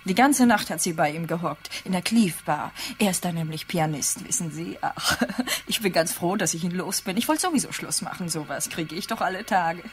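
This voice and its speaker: An actress playing a vain, catty barmaid who gossips scornfully in a German crime radio play. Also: female voice, fairly low pitch, moderate pace, a little hiss or hum, close to an everyday voice. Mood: scornful, gossipy.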